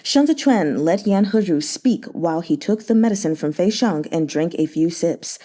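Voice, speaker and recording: Woman, audiobook reader, measured, warm-toned, expressive pitch. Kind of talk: read-along novel narration.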